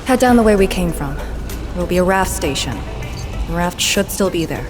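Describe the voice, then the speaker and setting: A woman's voice: fairly low pitch, animated, normal speed, close to an everyday voice. A woman playing a stern, curt, businesslike female fighter in a video game, with music behind her. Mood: cold. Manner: terse, stern, matter-of-fact. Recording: loud music behind the dialogue.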